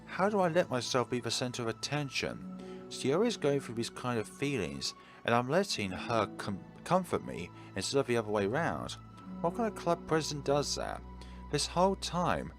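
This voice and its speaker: A man voicing an earnest teenage girl club president in a visual novel, anxious and quick to blame herself. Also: medium pitch, huge pitch swings, music in the background, pitched above the performer's own voice. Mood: self-reproachful. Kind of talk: visual novel character read-aloud.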